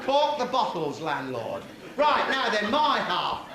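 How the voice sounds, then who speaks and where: A man playing a bossy, jovial pantomime comic, loud and cheeky as he rallies an audience. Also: high voice, huge pitch swings, a little slow, crowd noise, exaggerated voice. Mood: mock-scolding. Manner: loud, cheeky, mock-scolding, rallying. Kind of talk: British pantomime audience singalong. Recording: echoey stage, loud music and audience noise.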